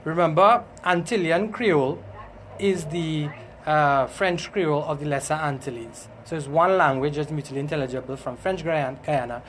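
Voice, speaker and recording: A man's voice: a little high, normal speed, few pauses, a bit bright, a little hiss or hum. A middle-aged man with a Trinidadian accent, explaining things informally as an interview guest, with some hesitation. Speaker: interview guest, language teacher and doctor.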